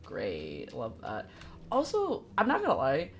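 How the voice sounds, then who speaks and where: A woman's voice: extremely low pitch, wildly swooping pitch, unhurried, a little hiss or hum. A woman giving casual live commentary as a game streamer, chatty and wry with a sarcastic streak.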